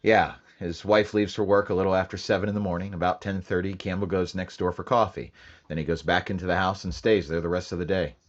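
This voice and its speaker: A man playing a clipped, low-voiced police sergeant. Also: fast talker, no room sound, dull audio, natural voice. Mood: briefing. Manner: flat, clipped, matter-of-fact. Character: terse police sergeant narrating his case. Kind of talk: 1940s radio acting.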